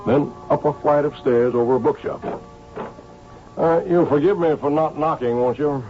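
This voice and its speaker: An actor playing a seasoned, shrewd police inspector who tells his case in a measured, wry way in a radio drama. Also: fairly high pitch, soft background music, natural voice. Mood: reflective narrating, then wry, probing. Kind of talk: radio drama acting with first-person narration. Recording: dull old radio broadcast.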